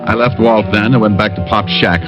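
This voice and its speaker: An actor playing a confident, wisecracking private detective who also narrates a 1950s radio drama. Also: male voice, on the low side, steady tone, a little fast, lightly acted. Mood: narrating. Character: wisecracking male private eye, cool. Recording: dull old radio broadcast. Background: music.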